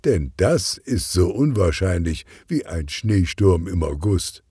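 Kids' audiobook: male narrator playing excitable teenage best friend, a girl, confiding, unhurried delivery. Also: low-pitched, breathy edge, dry recording, clean studio sound, full character voice. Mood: excited, confiding.

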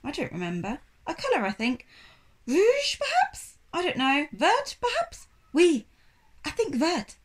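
A sneering French shop assistant from a children's storybook, acted out by a woman reader. He is haughty and dismissive, disdainful of everyone, and pitched on the high side. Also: huge pitch swings, measured pace, full character voice. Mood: indifferent.

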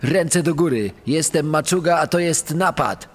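A man playing a menacing, forceful armed robber threatening his victims in a true crime dramatisation. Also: on the high side, measured pace, soft background music, close to an everyday voice. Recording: long echoing reverb.

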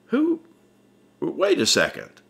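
A man's voice: sits high, huge pitch swings, takes it slowly, vocal fry, voice pushed up, heavily acted. A man voicing a hearty, friendly working-class man who talks in a folksy, eager way in an audiobook. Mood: puzzled, surprised. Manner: folksy, eager, plain-spoken. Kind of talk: audiobook character dialogue.

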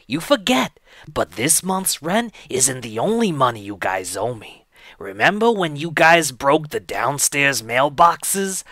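Man acting a creaky, vengeful landlord. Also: fairly high pitch, pushed and bright, no echo, exaggerated voice. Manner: menacing, scolding, drawn-out emphasis. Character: vengeful, fed-up male landlord evicting tenants. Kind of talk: comedic audio drama acting.

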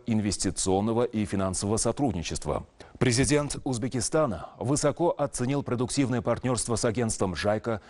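A man's voice: mid-range voice, a little mellow. Formal male news anchor. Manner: measured, official, clearly enunciated delivery. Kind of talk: formal scripted news reading. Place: television news studio.

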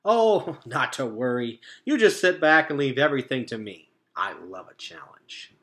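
A man voicing a jolly, confident male beaver handyman in a storybook reading, booming and slow. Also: sing-song, fairly bright tone. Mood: hearty.

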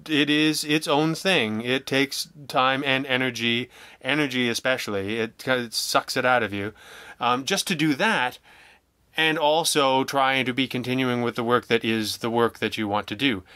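A man speaking as a guest in a casual interview, wry and self-deprecating, telling things in an animated way. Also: mid-range voice, moderate pace, clean tone, no echo. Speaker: interview guest, audio drama writer and producer.

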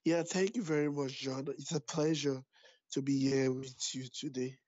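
A man's voice: medium pitch, steady tone, moderate pace, a little mellow, no echo. A man in his late twenties with a Nigerian accent, a guest answering interview questions about his background. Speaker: interview guest, author and activist. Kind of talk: casual interview. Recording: dry, slightly dull call line.

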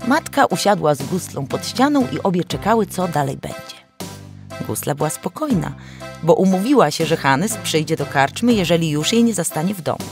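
A woman narrating a folk tale as a storyteller, with an animated, dramatic delivery. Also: lowish voice, fairly quick, faint music behind.

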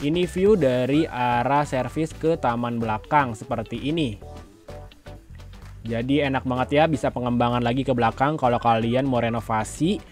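A man's voice: medium pitch, normal speed. A man, giving a casual, chatty house-tour narration and addressing viewers directly. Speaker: property tour host. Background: background music.

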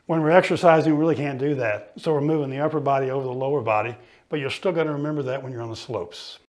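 A middle-aged man coaching ski fitness, explaining each exercise calmly while he demonstrates it in a studio. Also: mid-range voice, fast talker. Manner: patient, explanatory, talks while moving.

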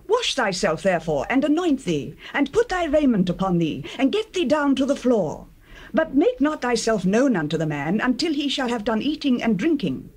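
An actress playing a caring, wise older mother-in-law, speaking deliberately in classical dramatized scripture. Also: fairly high pitch, breathy edge. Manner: expressive, deliberate.

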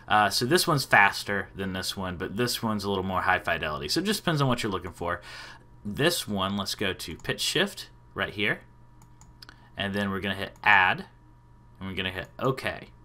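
A man in his thirties hosting a casual software tutorial. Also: deep voice, animated, normal speed. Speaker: tutorial host.

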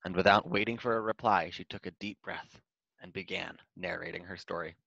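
A man's voice: deep voice, fairly even intonation, a little fast, creaky, bright, forceful tone, no echo, lo-fi sound. A man reading a children's storybook aloud in scripted narration. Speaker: storybook reader.

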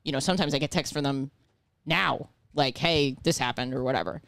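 A woman in her thirties with a deep voice, a comedian guest chatting casually on a podcast, brash and profane as she tells stories. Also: a little fast, creaky, dry recording, clean studio sound. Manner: brash, profane, animated storytelling. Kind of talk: casual chat. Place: small living room podcast set. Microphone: handheld mic, headphones.

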